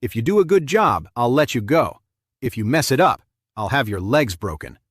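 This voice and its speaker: A man's voice: mid-range voice, very animated, moderate pace, slightly rough, no echo, studio quality. A man voicing a cold, commanding male avenger in a story, stern and taunting. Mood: mocking command.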